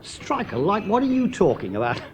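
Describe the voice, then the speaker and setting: An actor playing a cheerful, brash star aviator, talking in a breezy, slangy, hearty way. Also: male voice, high-pitched, huge pitch swings, normal speed. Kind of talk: 1970s radio drama acting.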